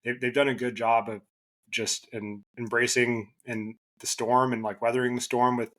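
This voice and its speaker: Flat-voiced man losing his voice. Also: mid-range voice. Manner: halting, many restarts and fillers. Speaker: podcast guest. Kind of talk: casual basketball podcast chat.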